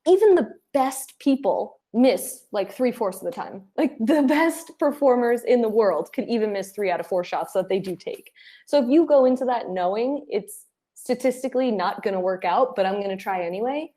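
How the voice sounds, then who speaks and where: A woman speaking as an interview guest, giving advice and telling anecdotes in an animated, conversational way. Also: fairly high pitch, moderate pace. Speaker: interview guest, head of client acquisition.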